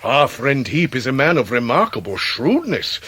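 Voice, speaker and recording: An English actor in a period radio drama, playing a pompous, grandiloquent middle-aged gentleman who is forever in debt, with florid, deliberate delivery. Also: mid-range voice, sing-song, breathy edge, full character voice. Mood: grandly confiding. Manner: florid, orotund, deliberate pauses.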